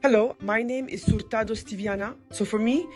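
A woman's voice: on the high side, brisk, music in the background. A woman who sends an earnest, proud voice note as a listener.

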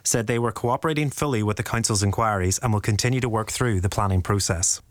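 A man's voice: on the low side, fast talker, dry recording. A man delivering a scripted report as a news reporter.

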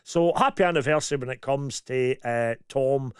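A man's voice: medium pitch, normal speed, clear, resonant tone, dry recording, studio quality. A Scottish man co-hosting a radio show, chatting casually with his co-host and reading out listener messages with a joking manner and plenty of fillers. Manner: chatty, jokey, many fillers. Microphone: studio mic.

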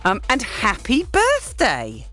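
A woman's voice: fairly high pitch, huge pitch swings, slow, a bit bright, faint music behind. A woman hosting a local radio phone-in, bubbly and chatty. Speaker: radio presenter.

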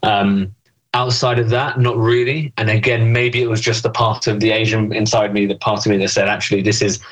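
A man's voice: lowish voice, steady tone, fairly quick, low-quality recording. A young man answering interview questions thoughtfully, with many fillers and restarts. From England. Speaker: interview guest.

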